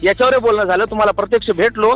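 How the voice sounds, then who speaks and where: A man's voice: high-pitched, rapid-fire. A man with a Marathwada accent arguing indignantly on a phone call and insisting on being heard. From Marathwada, Maharashtra. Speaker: poet. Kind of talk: heated argument on a phone call. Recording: dull phone call recording with music.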